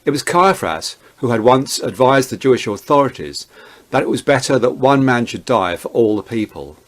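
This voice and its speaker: A man serves as narrator for a dramatized scripture reading. His mid-pitched voice moves up and down in an animated way through the scripted narration. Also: moderate pace. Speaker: narrator, Bible reader.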